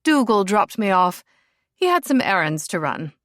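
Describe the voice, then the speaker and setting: A woman's voice: mid-range voice, very animated, moderate pace, fairly bright tone, no room sound, clean studio sound. A woman voicing an eccentric, airy older aristocratic lady with a posh English accent. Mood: cheerful.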